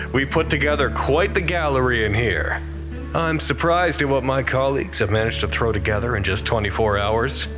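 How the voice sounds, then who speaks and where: A man's voice: medium pitch, close to an everyday voice. A man playing an earnest, anxious facility worker who reports to command in a wordy, formal audio drama monologue. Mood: announcing.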